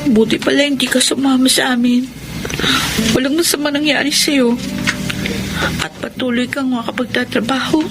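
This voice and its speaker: A high, petulant young Filipino woman's voice in a radio drama. She is a pushy, sulky party-loving friend with a theatrical delivery, over clearly audible music. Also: steady tone, moderate pace, pauses often. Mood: subdued. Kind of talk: Philippine radio drama acting.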